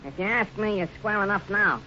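A man's voice: sits high, brisk, pushed and bright. A man playing a sour, doubting thug sidekick with an American tough-guy way of talking in a 1950s radio western. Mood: sour, doubtful. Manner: grumbling, ungrammatical tough-guy talk. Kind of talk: 1950s radio villain acting. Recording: muffled, slightly noisy old radio recording.

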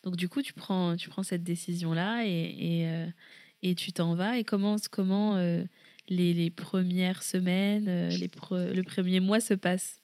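A woman hosting a casual interview podcast, asking gentle questions in a soft-spoken way. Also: fairly low pitch, steady tone, measured pace, no echo. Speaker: podcast host.

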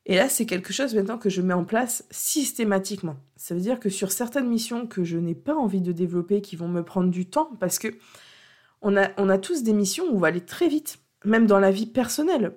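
A woman's voice: medium pitch, expressive, brisk, a little mellow. A French-speaking woman hosting a coaching podcast while she has a cold, talking unscripted in an earnest, hesitant way. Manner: hesitant, many fillers and restarts, earnest. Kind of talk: unscripted solo podcast talk.

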